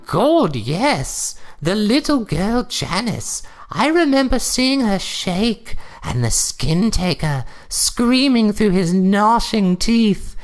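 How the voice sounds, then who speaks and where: Woman playing a snooty old wife, swooping slowly. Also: mid-range voice. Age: older. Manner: prim, theatrical, chiding. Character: snooty, bossy old wife reading aloud. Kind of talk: comedic dramatic reading of an internet horror story.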